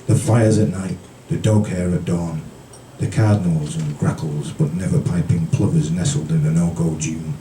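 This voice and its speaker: A man from the British Isles reading poetry live at a café event in a measured storytelling manner, with brief casual asides. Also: low-pitched, steady tone, dark tone. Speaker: featured poet. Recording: roomy, distant, noisy live recording.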